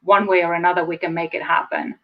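A woman's voice: on the low side, flat delivery. Estonian-accented woman, reflective guest. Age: 40s. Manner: measured, reflective, slows to stress key words. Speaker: guest, speaker and trainer. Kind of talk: casual interview chat. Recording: slightly dull lo-fi sound.

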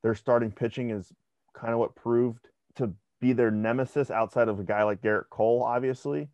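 A man's voice: medium pitch, fairly even intonation, normal speed, a little mellow, dry recording. A young man co-hosting a podcast, chatting casually about sports and often restarting his words. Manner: conversational, repeats words when restarting. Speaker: podcast co-host. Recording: dry, dull lo-fi sound.

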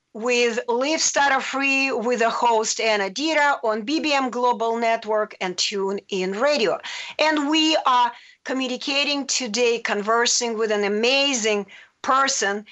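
Upbeat radio host woman, higher voice. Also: fairly bright tone. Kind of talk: live radio interview.

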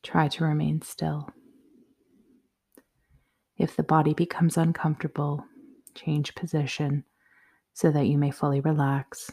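A woman guiding a yoga nidra meditation in a soothing, measured, softly spoken way. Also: low voice, flat delivery, husky. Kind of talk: guided meditation, slow instructions.

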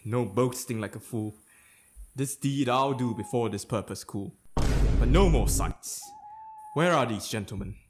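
A man's voice: mid-range voice, unhurried, noisy background, natural voice. A man acting a Shakespearean king, ambitious, fearful and vengeful, in a theatrical, declamatory dramatic reading. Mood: grimly resolved. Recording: loud ominous music behind the voice. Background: ominous music.

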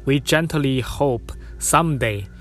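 A man from China, a dance artist, speaking gently in a measured guided narration over soft music. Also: medium pitch.

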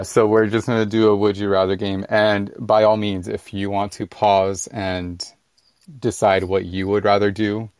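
A man co-hosting a casual podcast chat in a monotone way. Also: on the low side, no room sound. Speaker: podcast co-host.

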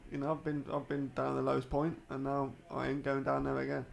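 A man's voice: mid-range voice, monotone, fairly quick, gravelly. An English man in his late thirties chatting casually as a podcast guest, often repeating words and short phrases for emphasis. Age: late 30s. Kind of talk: casual chat.

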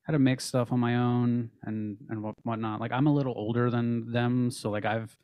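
A man in his late twenties, a band member who records and mixes the band himself, talking in a relaxed way in a casual interview. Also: mid-range voice, normal speed, smooth, highs cut off. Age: late 20s. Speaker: band member and self-taught recording engineer.

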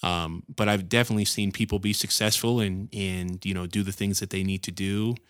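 A man's voice: low-pitched, fairly even intonation, a little fast, fairly bright tone, smooth, no room sound, studio quality. A man hosting a podcast, chatting easily, asking questions and affirming his guest. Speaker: podcast host. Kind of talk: casual interview chat. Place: Ecuador.

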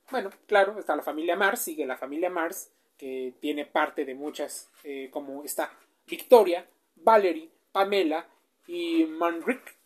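A woman, giving a chatty explainer and often asking the listener questions. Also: lowish voice. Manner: chatty, asks the listener questions, with filler sounds. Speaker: presenter. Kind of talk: informal explainer speaking straight to the listener.

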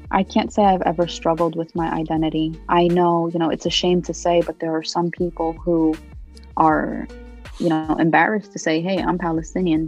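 A woman's voice: low-pitched, creaky, darkish tone, dull audio. A young American-born woman answering interview questions about her poetry and identity in an earnest, thoughtful way, with frequent "you know" fillers. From United States. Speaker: interviewee, poet.

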